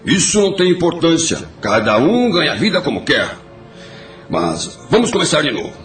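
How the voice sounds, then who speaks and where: An actor playing an implacable criminal blackmailer in an audio drama, formal and insinuating, with quiet menace. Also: male voice, on the high side, sing-song, moderate pace, reverberant, faint music behind. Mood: coolly menacing. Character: implacable male blackmailer, smooth-talking criminal uncle.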